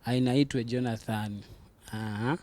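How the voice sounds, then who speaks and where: A Ugandan man in his thirties talks quietly as an interview guest. His mid-pitched voice is mellow and dark. He pauses and restarts often as he mixes English and Luganda. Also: steady tone. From Central Uganda. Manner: soft-spoken, halting, with many restarts. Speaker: singer guest. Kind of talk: casual interview talk mixing English and Luganda.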